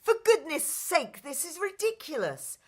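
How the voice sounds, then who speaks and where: A woman's voice: sits high, big pitch swings, unhurried, a little mellow, pitched above the performer's own voice. A woman acting a lovestruck, impatient young prince in a radio play, urgent and commanding. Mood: indignant.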